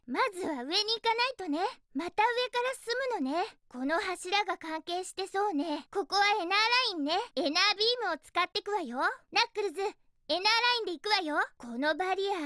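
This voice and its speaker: A woman playing a perky, feisty young female hedgehog heroine in a Japanese video game, girlish, energetic and bossy. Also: very high voice, dry recording, clean studio sound. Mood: wondering.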